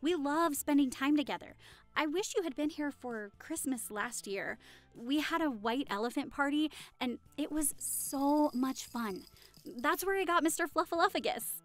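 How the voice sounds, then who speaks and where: A woman's voice: high voice, moderate pace, faint music behind, close to an everyday voice. A woman playing a friendly, affectionate young hostess in an audio roleplay, chatting cheerfully and reassuringly to the listener. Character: friendly, affectionate young woman hosting a Halloween costume party.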